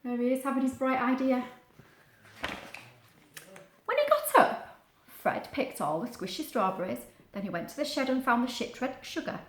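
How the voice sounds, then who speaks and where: A woman's voice: high-pitched, normal speed, pauses often, roomy sound. A woman reading a children's storybook aloud, expressively, with chatty asides and questions to the listener. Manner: expressive, explains words and asks the listener questions. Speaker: storybook reader.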